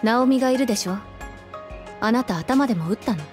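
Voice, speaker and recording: A woman's voice: a little high, expressive, brisk, music in the background, lightly acted. A Japanese actress playing a composed, matter-of-fact young female soldier in an anime game. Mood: cool, blunt.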